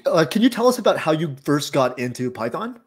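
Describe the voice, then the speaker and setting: A man's voice: fairly high pitch, big pitch swings, a little fast, breathy edge. A man in his thirties hosting a casual livestream interview from a room at home. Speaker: host. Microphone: video call from a desk.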